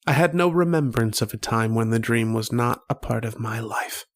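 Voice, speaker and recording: A man playing a haunted, wistful narrator of a recurring dream in a radio drama, speaking poetically with long pauses. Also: mid-range voice, no room sound, studio quality, natural voice. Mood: weary, bewildered. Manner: slow, poetic, brooding delivery with long pauses. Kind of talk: dramatic first-person radio horror narration.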